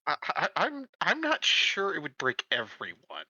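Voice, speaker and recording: A man playing a tabletop game, talking in an excitable, stammering way and laughing a lot. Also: on the high side, a little slow, breathy edge, no echo, muffled recording. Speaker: player. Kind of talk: casual chat at a game table.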